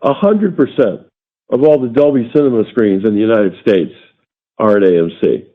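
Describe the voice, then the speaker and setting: Deliberate male executive on a phone line. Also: fairly low pitch. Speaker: company executive answering analysts. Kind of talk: earnings call remarks. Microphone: phone or conference line. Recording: thin phone-line conference call.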